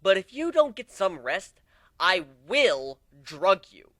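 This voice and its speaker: A hot-headed griffin swordfighter from a storybook adventure, acted out by a man. The voice is highish and forceful, leaping around in pitch. It is bossy and sardonic, quick to exclaim and scold. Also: big pitch swings, no echo, exaggerated voice. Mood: stern, scolding. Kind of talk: dramatic storybook reading.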